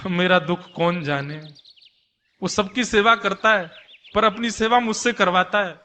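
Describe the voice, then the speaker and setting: Man playing a high-voiced, gossipy, grumbling housewife. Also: unhurried, bright, forceful tone. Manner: gossipy, exaggerating, repetitive. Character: talkative, grumbling, overworked housewife of a devout man. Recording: long hall reverb.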